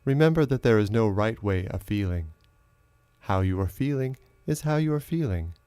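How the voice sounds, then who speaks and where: Male meditation teacher, fairly low register, measured instructional delivery with generous pauses, very dry recording. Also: expressive, dark tone, clean tone, no room sound. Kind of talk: guided meditation narration.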